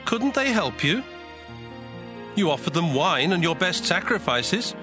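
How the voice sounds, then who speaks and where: A man's voice: sits high, very animated, a little slow. A man voicing a wrathful, jealous God pronouncing judgement in a solemn, commanding way for a dramatized scripture reading. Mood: stern, vengeful. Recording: music bed behind the voice.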